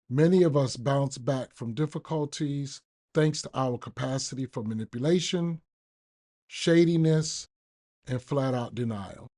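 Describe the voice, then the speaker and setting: A man reading a daily reflection aloud for a recovery podcast, with measured, deliberate phrasing. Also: mid-range voice, mellow. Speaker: guest reader on a recovery podcast.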